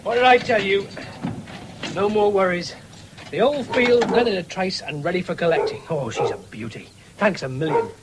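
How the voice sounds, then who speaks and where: An eager, high-voiced young farmer. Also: male voice, lively intonation, moderate pace. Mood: eager, cajoling. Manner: enthusiastic, cajoling, cocky. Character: eager, boastful young farmer son, keen on machines. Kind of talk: 1970s British radio drama acting. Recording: noisy vintage radio broadcast.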